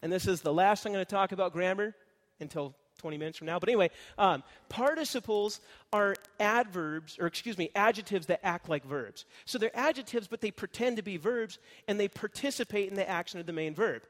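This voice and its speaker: A man's voice: high voice, brisk, big-room sound. A man preaching an expository sermon, explaining grammar in a conversational teaching manner and often checking in with the congregation. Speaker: pastor.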